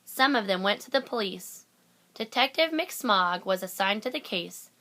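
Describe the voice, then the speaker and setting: A woman's voice: medium pitch, lively intonation, a little slow, sharp tone. A woman narrating a children's picture book aloud as a storyteller. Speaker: storybook reader.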